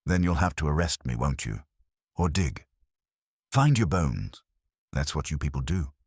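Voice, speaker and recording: A man's voice: very deep voice, big pitch swings, a little slow, husky, no room sound, clean studio sound, exaggerated voice. A man voicing a cold, defiant suspect in a detective audio drama, clipped, guarded and scornful. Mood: defiant, smug. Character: cold, smug, defiant male villain under suspicion.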